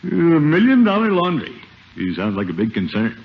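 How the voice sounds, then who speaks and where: An actor playing a pompous, boastful middle-aged uncle, jovial and blustery, in a 1950s radio comedy. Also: male voice, fairly high pitch, wildly swooping pitch, normal speed. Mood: jovial, curious. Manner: pompous, swooping, self-satisfied. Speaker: lead comic character. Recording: muffled, noisy 1950 radio broadcast.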